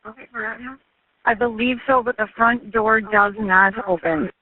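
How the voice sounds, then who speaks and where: Male police radio caller. High-pitched voice, phone-like radio transmission. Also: fairly even intonation, moderate pace. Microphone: two-way radio.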